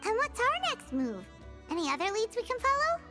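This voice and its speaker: A woman voicing a small, whiny, excitable childlike companion in a video game. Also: very high-pitched, fairly quick, over loud music. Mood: curious. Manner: whiny, exclamatory.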